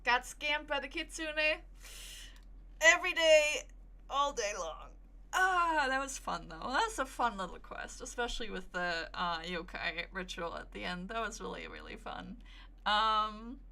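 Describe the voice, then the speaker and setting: A woman's voice: on the high side, lively intonation, normal speed, bright, forceful tone. A woman livestreaming a video game with casual, wry, sweary reactions, sounding tired. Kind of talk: casual reactive gameplay commentary. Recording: game music behind voice.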